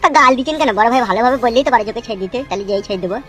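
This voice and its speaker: A man's voice: very high-pitched, rapid-fire, a bit bright, faint music behind. A man playing a surly, grumbling young henchman in a comic cartoon, with a rural West Bengal accent.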